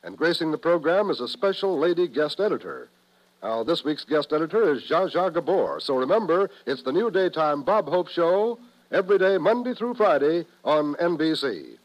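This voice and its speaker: An American male announcer from 1950s network radio. His voice is slightly high, with a polished, promotional delivery on a vintage broadcast. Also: very animated, moderate pace, slight background noise, poor audio.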